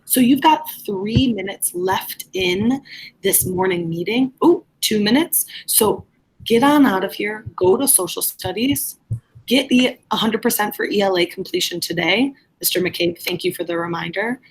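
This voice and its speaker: A woman's voice: medium pitch, a little slow, a little hiss or hum, rough recording. A woman speaking as a middle school teacher, giving an informal lesson recap and instructions to students.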